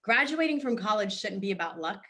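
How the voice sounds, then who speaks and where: A middle-aged woman from Haiti by way of Boston speaks in a bright, forward voice. She answers a panel question in an earnest and composed way, each point well ordered. She is heard over a video call. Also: medium pitch, animated, a little fast. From Haiti, raised in Boston. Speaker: nonprofit founder and CEO, panel guest.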